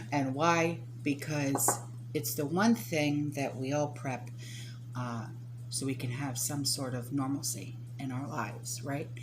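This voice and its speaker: A woman hosting a prepping channel, chatting casually to viewers and often checking in with "okay?" and "right?". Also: rumbling low. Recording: steady hum behind the voice.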